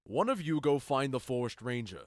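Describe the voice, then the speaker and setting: Guy acting a proud duke in an audio play, giving it lordly, medium pitch, close dry recording. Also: big pitch swings, normal speed, darkish tone, no room sound, lightly acted. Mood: proud, eager. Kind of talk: modernized Shakespeare audio drama acting.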